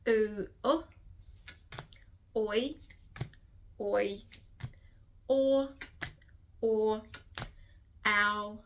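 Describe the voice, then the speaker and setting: A woman's voice: on the high side, pushed and bright, slight background noise. A woman with a New Zealand accent teaching phonics, carefully sounding out each letter sound in a structured tutorial. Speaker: literacy teacher. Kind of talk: slow instructional phonics tutorial.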